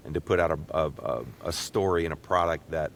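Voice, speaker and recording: A middle-aged man hosting a casual interview, often halting and repeating words as he gathers his thoughts. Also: gravelly. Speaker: podcast host and interviewer. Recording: low rumbling background noise.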